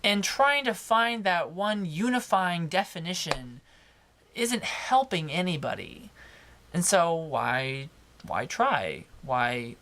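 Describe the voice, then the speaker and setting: A young man with a naturally higher-pitched voice, talking casually and personally to camera as a video blogger, expressive and emphatic with drawn-out words. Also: a little hiss or hum.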